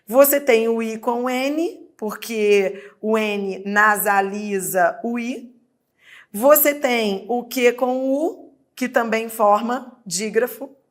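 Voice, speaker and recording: A woman's voice: on the high side, slow. A woman with a Brazilian Portuguese accent teaching a recorded exam-prep lesson, explaining step by step and checking in with the viewer. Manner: explanatory, addresses viewer directly, frequent "né?" checks.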